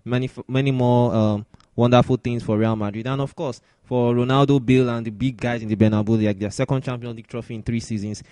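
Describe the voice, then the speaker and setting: A man's voice: medium pitch, little pitch movement, quick pace, mellow, no room sound. A man hosting a sports podcast, talking through news and results as commentary. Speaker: podcast host.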